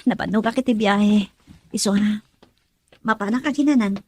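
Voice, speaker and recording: A woman's voice: mid-range voice, normal speed, a little mellow, no room sound, lightly acted. A young woman playing a stubborn, lovestruck daughter in a radio drama. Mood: defensive.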